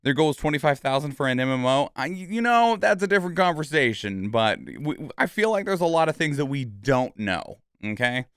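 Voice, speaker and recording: A man's voice: on the high side, a bit bright, clear, resonant tone, dry recording, clean studio sound. A man giving casual live reaction commentary as a streamer, thinking aloud in a rambling, conversational way. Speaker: streamer and reaction video host.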